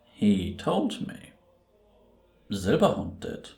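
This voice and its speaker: A man playing Death as a grave, gentle reaper who speaks in a measured, deliberate way in an audio roleplay. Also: fairly low pitch, huge pitch swings, creaky, heavily acted. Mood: calm, reflective. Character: solemn, gentle male Death, a reaper who was once human. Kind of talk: cinematic audio roleplay acting. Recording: roomy, reverberant sound.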